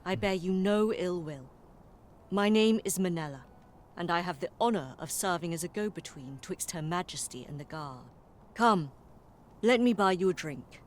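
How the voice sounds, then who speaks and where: A woman playing a composed, courteous officer of an empress in a fantasy video game, speaking in measured, archaic, formal phrases. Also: on the low side, lively intonation, a little hiss or hum, lightly acted.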